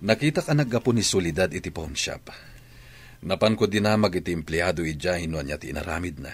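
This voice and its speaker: A man's voice: low-pitched, fast, slightly rough, soft background music. A man with an Ilocano accent playing an aggrieved, hurt married son in a radio drama.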